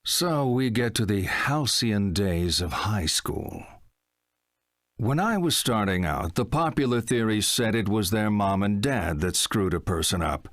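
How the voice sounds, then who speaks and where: An actor playing a blunt, probing psychotherapist in a video game, talking in a deliberate, wry way. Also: male voice, sits low, a bit bright, no room sound, studio quality, full character voice. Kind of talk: video game cutscene acting.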